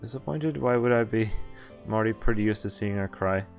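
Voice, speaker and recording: A man's voice: on the low side, fairly quick, creaky, darkish tone. A young man on a live playthrough, reading visual novel text aloud and casually breaking off to comment. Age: young adult. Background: game music.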